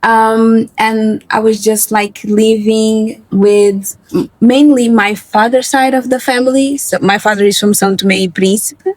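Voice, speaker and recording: A woman in her twenties who grew up in Portugal, speaking softly and reflectively as a guest in a candid interview. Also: on the high side, steady tone, measured pace, dry recording, rough recording. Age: 20s. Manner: soft-spoken, reflective, with frequent fillers.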